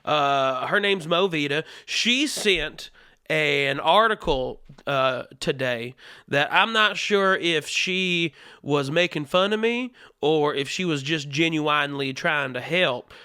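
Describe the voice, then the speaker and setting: A man's voice: on the high side, takes it slowly, pushed and bright, clean tone, no echo, clean studio sound. A man hosting a comedy show in a put-on Southern US accent, talking casually in a crude, profane, joking monologue.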